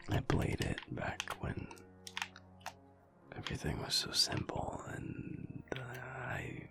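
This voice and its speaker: A man playing a sad, wistful young alpha werewolf in an intimate audio roleplay, talking haltingly in a low, rumbly half-whisper. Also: wildly swooping pitch. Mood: nostalgic, wistful. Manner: halting, hesitant, trailing off.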